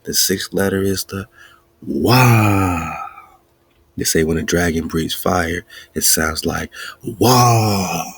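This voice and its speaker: Gravelly low-voiced man preaching. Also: no echo. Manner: preachy, repetitive, chanting lists; laughs between points. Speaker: podcast host. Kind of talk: spiritual teaching talk.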